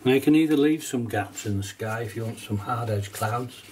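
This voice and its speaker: A middle-aged man with a cold, sounding nasal, casually talking through a watercolour painting demonstration at his desk, relaxed and chatty with small laughs. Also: mid-range voice, normal speed, far from the mic, a little hiss or hum. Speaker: watercolour teacher. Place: painting desk at home, indoors.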